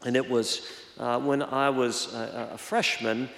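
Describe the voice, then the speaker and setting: Slightly hoarse preacher in an echoey hall. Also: male voice, mid-range voice, level tone, fairly bright tone. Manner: halting, many fillers and restarts. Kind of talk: reflective sermon with personal story. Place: church.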